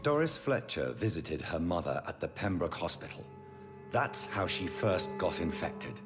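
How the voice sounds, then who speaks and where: Over clear background music, a brooding vampire doctor in a dramatic game cutscene. The voice is fairly low with wide, expressive movement. He sounds stern, formal and accusing. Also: measured pace. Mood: grave, probing. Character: grave, accusing English gentleman vampire doctor, brooding and righteous.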